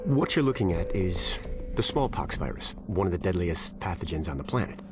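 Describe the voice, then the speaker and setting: A man's voice: deep voice, big pitch swings, a little fast, over music. A man playing a smug, fanatical virologist villain in a video game, lecturing with chillingly casual misanthropy. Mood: cool, sardonic.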